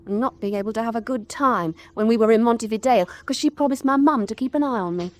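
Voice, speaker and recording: An actress as a young English shipwreck survivor in a radio drama. She has a somewhat high voice and an English accent, and talks in a colloquial, artless, chatty manner. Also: quick pace, close to an everyday voice. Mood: chatty, grumbling. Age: 20s. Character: chatty, plain-spoken young British survivor, twenty-three.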